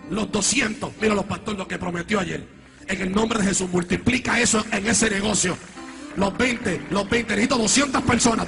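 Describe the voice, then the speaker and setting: A man preaching at a revival, shouting and repeating himself, in an archive clip. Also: very high-pitched, creaky.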